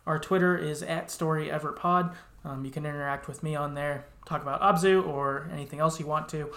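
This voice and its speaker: Creaky-voiced man hosting in an echoey room. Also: on the high side, fairly bright tone. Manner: agreeable, easygoing, affirming. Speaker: podcast host. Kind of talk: casual chat. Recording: roomy, echoey sound.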